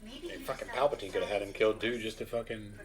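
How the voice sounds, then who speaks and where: A man with a fairly low voice hosts a casual reaction to a TV show. He is blunt and profane, and loud soundtrack music plays behind his remarks. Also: sing-song, fast talker. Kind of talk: casual commentary over a TV show.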